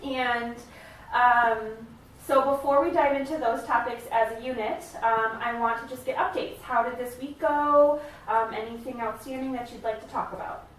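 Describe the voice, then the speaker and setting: A woman playing a supportive family therapist in a role-play, speaking in a hesitant, gently validating way. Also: on the high side, fairly even intonation, normal speed, natural voice. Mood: calm, supportive. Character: supportive, attentive female family therapist leading a session. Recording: echoey room, distant mic, faint noise.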